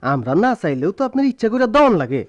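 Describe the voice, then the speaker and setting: A man's voice: on the high side, big pitch swings, rapid-fire. An actor playing a shrewd village uncle of a groom in a radio play, pressing his points in a rural Bangladeshi accent. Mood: smooth, insistent. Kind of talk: Bengali radio play acting.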